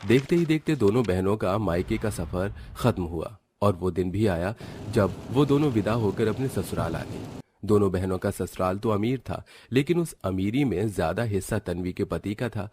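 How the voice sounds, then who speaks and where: A man's voice: on the low side, normal speed, darkish tone, slightly rough, no room sound. A man reading scripted narration for a cartoon family story.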